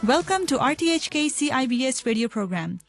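A woman's voice: sits high, big pitch swings, brisk, faint music behind. A woman from Hong Kong hosting a radio interview, reading upbeat scripted introductions and asking friendly questions. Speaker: radio host.

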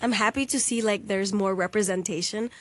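A woman's voice: mid-range voice, fairly even intonation, brisk. A woman with a mid-range voice hosts a radio chat show. She interviews in a casual style, talking conversationally as a co-host.

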